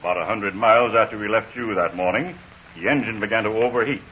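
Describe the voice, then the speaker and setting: An actor playing a steady army major recounting a crash landing, in 1940s radio acting style. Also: male voice, on the low side, quick pace. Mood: earnest. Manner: hearty, earnest storyteller. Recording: muffled old radio recording with faint hiss.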